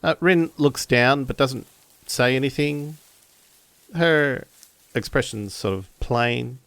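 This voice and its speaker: A man's voice: medium pitch, slow, fairly bright tone, no room sound. A man playing a tabletop role-playing game, narrating casually and hesitantly as he pieces his sentences together.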